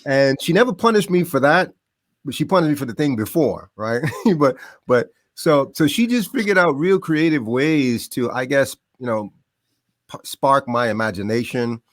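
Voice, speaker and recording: A middle-aged man from the Bahamas, a podcast guest telling stories about growing up in a lively, casual way. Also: medium pitch, moderate pace, no room sound, studio quality. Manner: animated, laughs often, trails off into quieter asides. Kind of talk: casual storytelling.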